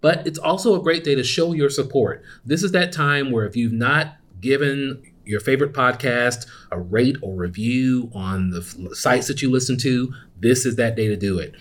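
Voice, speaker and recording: A male podcast host with a mid-pitched voice talks through announcements on his own. His delivery is casual and conversational, with an upbeat, promotional manner. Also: normal speed, hardly any pauses.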